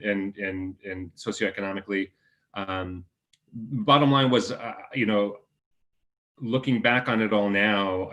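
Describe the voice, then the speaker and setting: A man from the United States, a panelist introducing himself in a discussion, speaking in a measured, hesitant way with many "uh"s. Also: fairly low pitch, fairly bright tone.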